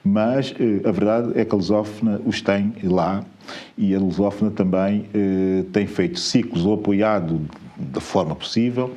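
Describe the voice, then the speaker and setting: Low-voiced male TV interviewer. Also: measured pace, warm tone. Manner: summarizing, prompting with short follow-ups. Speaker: TV talk show host. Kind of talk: studio interview.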